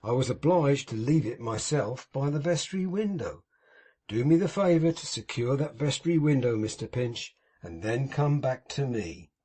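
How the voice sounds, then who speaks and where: A man voicing a pompous, sanctimonious middle-aged gentleman in an audiobook, speaking in a grand, moralizing manner with a husky tone. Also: mid-range voice, lively intonation, moderate pace. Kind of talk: audiobook character reading.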